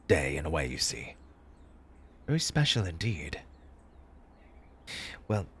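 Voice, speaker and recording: A man playing an eccentric, fussy tea-party host in an audio roleplay, pompous and formal in old-fashioned diction, with a breathy, husky voice and an undertone of grief. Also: fairly low pitch, full character voice. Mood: apologetic, subdued. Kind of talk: theatrical character audio roleplay.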